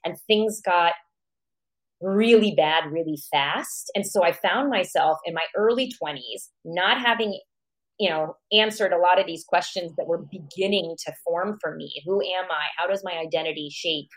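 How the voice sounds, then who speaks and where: A woman's voice: on the low side, moderate pace, rough recording. A woman born in Iran and raised in America, a psychologist guest, reflecting on her life and thinking aloud with questions to herself. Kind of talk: reflective personal storytelling.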